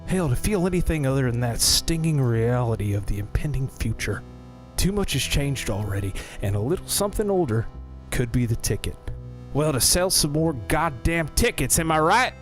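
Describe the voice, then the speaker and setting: A man's voice: medium pitch, animated, moderate pace, faint music behind, lightly acted. A man playing an effusive, folksy period showman in an audio drama, with florid, salty salesman patter. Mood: persuasive. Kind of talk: audio drama monologue, 1890s period setting.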